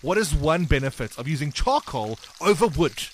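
A man's voice: on the high side, sing-song, slight background noise, rough recording. A man hosting a breakfast radio game, chirpy and teasing. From South Africa. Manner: chirpy, teasing, quick patter. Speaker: radio breakfast show host. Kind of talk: upbeat radio game segment.

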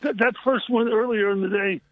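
Husky older man on a tinny phone line. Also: sits high, fairly even intonation, no room sound. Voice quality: loud, husky voice. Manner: halting, repeats phrases. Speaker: guest caller, retired prison librarian. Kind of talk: casual reminiscing in a radio interview.

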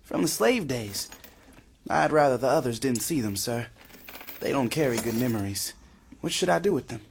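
Humble campus worker in radio play, earnest and deferential, little bit of noise. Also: male voice, mid-range voice, expressive, moderate pace, gravelly. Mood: uneasy, respectful. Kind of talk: audio drama acting.

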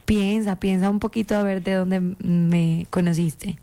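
A woman with a lowish paisa accent talks down a landline phone. she's coy and insistent, teasing the man on the other end to guess who she is and never quite giving herself away. her phrasing is playful and persistent. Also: fairly even intonation, unhurried, mellow. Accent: Colombian paisa. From Antioquia, Colombia. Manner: coy, insistent, keeps her identity a mystery. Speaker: caller.

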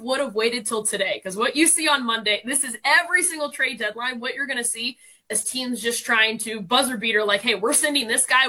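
Female sports analyst, twenties, bright and fairly high voice, informal, emphatic commentary. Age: 20s. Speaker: sports radio guest analyst and producer.

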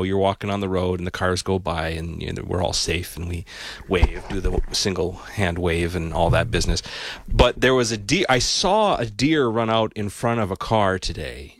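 A man's voice: low voice, dry recording. A man, a columnist guesting on a morning radio show, telling stories in a wry, easygoing way. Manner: wry, storytelling. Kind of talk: casual radio conversation.

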